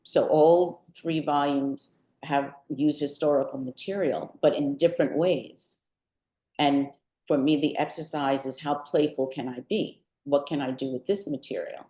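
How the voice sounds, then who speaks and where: An older woman talking reflectively about creative work, measured and thoughtful, with deliberate pauses in mid-sentence. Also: low voice, steady tone, dark tone. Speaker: poet and artist being interviewed. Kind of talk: reflective talk about own creative work at a book event. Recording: roomy, echoing live event sound.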